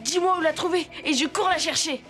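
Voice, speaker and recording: A woman's voice: very high voice, brisk. A woman voicing a brave, kind-hearted young boy in a children's cartoon, earnest and eager. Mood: determined. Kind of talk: French-dubbed children's cartoon acting. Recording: loud music underneath.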